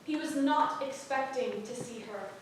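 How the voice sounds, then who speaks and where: A woman's voice: sits high, a little slow. A woman narrator reading scripted stage directions aloud at a staged play reading. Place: stage in a theatre. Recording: echoing theatre, distant mic, faint noise.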